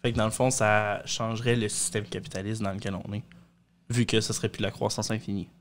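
A man's voice: fairly low pitch, little pitch movement, fast, a little hiss or hum. A young man with a Quebec French accent, chatting casually as a panelist on a studio mic. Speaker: podcast panelist. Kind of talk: casual chat. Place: small podcast studio, indoors. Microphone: studio mic on boom arm.

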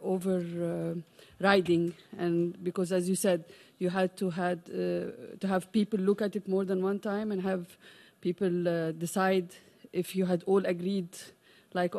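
A middle-aged woman from Egypt speaking from the floor at a conference, with many pauses, fillers and restarts. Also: lowish voice, gravelly, darkish tone. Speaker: audience member, parent advocate. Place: large conference room. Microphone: desk microphone.

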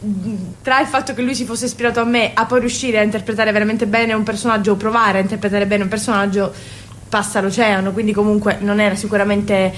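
A woman from Sicily talks as an interview guest, reflective and conversational. Her pitch sits in the middle range, and a faint noise runs under the recording. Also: flat delivery, fast talker. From Sicily, Italy.

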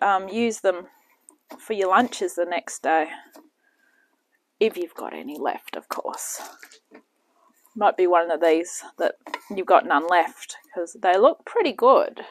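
A woman chatting casually as she cooks in her home kitchen and hosts her own cooking channel. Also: medium pitch. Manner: chatty, talks while working in the kitchen.

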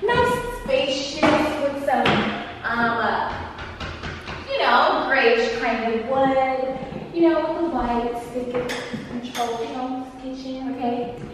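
A playful woman in her early twenties chats her way through an empty apartment. Her fairly high voice bounces around the bare walls with a long, hollow echo. The camera mic sits some distance away and picks up a steady hiss. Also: takes it slowly. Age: 20s. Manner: bubbly, chatty, playful. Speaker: vlogger. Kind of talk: casual apartment tour vlog. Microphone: camera mic, handheld and at a distance. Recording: echoey empty rooms, camera held away.

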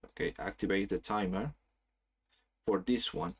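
Low-voiced man explaining software, chatty. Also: unhurried, vocal fry, slightly rough. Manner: casual, explanatory, frequent "okay?" checks. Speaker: software trainer. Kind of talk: screen-share tutorial.

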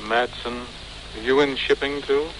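A man's voice: mid-range voice, level tone, unhurried, fairly bright tone, lightly acted. An actor playing a plain-spoken man in a 1940s radio detective drama. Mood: subdued. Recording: noisy, hissy vintage radio recording.

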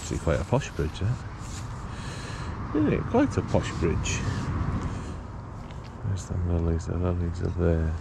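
A man's voice: low voice, huge pitch swings, slow, long pauses. A British man chatting casually and informally to viewers as he fishes, often musing aloud in questions. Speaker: angler presenting a fishing video. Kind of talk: casual chat to viewers while fishing. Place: outdoors beside a small pond. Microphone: body-worn action camera. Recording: clear outdoor ambient and traffic noise.

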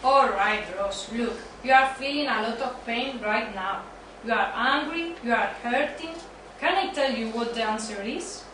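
Heard from a distance in a very echoey room, a woman plays a blunt, brash young guy in a sitcom script. The voice is slightly high. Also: a little slow, fairly bright tone, slight background noise. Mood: pushy. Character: blunt, brash, skirt-chasing young man, Joey. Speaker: student in an amateur dramatized reading. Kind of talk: amateur dramatized sitcom reading.

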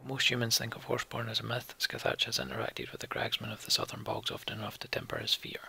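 A man's voice: fairly low pitch, big pitch swings, fast, creaky, sharp tone, breathy edge, in a whisper. A man playing a game as a let's player, reading text aloud as narration and adding casual muttered commentary.

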